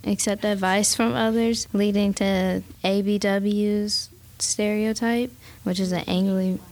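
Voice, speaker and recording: Teen girl panelist, gravelly flat delivery, hesitant. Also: medium pitch, slow. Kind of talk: casual discussion.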